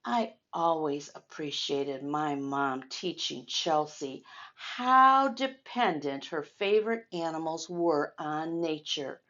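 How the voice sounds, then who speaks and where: Slow-paced older woman reading to children. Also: deep voice, lo-fi sound. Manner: speaks directly to young listeners, explaining and pointing things out. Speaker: storyteller reading a picture book to children. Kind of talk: read-aloud story time with chatty asides to children.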